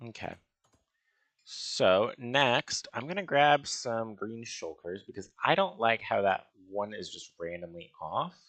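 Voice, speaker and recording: A man with a fairly low, gravelly voice talks through a building game in a casual way. He speaks slowly, musing to himself and often hesitating, and the sound is close and dry. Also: expressive, a bit bright, no echo. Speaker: gaming video host. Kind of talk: casual Minecraft building commentary, thinking aloud.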